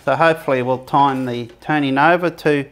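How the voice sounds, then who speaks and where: A man's voice: mid-range voice, fairly even intonation, brisk, fairly bright tone. A man giving a casual, rambling cooking demonstration for his camping review channel, often restarting his sentences. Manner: chatty, rambling, self-correcting.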